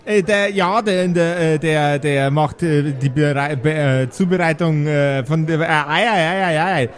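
A man's voice: fairly high pitch, measured pace, close to an everyday voice. A man voicing a flustered, over-eager waiter who stammers with fawning politeness in a tabletop audio game.

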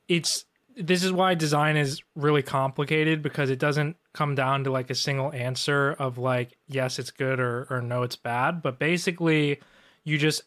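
A man's voice: medium pitch, fairly bright tone. A man who works as a designer, answering questions on a live show in a laid-back way with lots of fillers. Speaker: guest designer. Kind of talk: casual live Q&A.

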